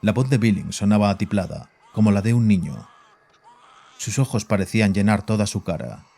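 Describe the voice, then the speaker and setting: Casting: male narrator for horror audio fiction, low register, dark soft timbre. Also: animated, moderate pace, slightly rough. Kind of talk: scripted horror story narration. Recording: voice over background music.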